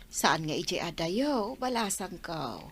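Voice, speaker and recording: A woman's voice: fairly low pitch, very animated, a little slow, fairly bright tone, slight background noise. A woman playing a fond, motherly older aunt from the countryside in a radio drama. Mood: fond, explaining. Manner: affectionate, motherly. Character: fond older aunt living on her farm.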